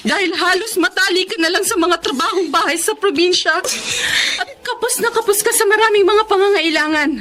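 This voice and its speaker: An actress playing a betrayed middle-aged Filipino wife in a radio drama, emphatic and emotional. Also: female voice, very high voice, fairly quick, fairly bright tone. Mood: anguished, furious. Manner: emphatic, emotional, pressing with repeated questions. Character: betrayed middle-aged wife and bakery owner, wounded, accusing.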